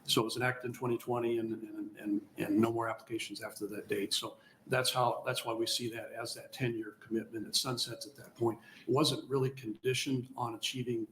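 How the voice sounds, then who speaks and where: A man's voice: mid-range voice, flat delivery, a little fast, fairly bright tone, slight background noise, poor audio. A man testifying at a legislative hearing, explaining a policy carefully with occasional uh fillers. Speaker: economic development witness. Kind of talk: formal public testimony.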